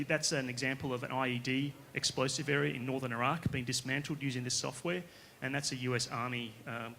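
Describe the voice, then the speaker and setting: A man from Western Australia with an Australian accent, giving a conference pitch as a company founder, casual and conversational, often checking in with the audience. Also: vocal fry, pushed and bright. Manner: casual, conversational, with frequent tag questions. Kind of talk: conference presentation. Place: conference stage.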